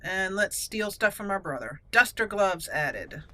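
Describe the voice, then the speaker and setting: A woman's voice: medium pitch, animated, measured pace, pushed and bright. A woman giving casual, chatty, playful commentary as she hosts a let's play gaming video.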